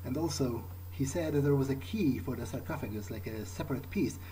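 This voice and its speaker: A man's voice: medium pitch, brisk, warm tone, a little hiss or hum. A man hosting a gaming video, improvising in character and thinking aloud in hesitant, musing fragments. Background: game music.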